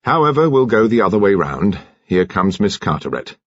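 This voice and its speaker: A man's voice: lowish voice, big pitch swings, normal speed, no echo, highs cut off. A man voicing an urbane, courteous senior detective with a polite, authoritative, articulate manner in an audiobook. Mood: brisk.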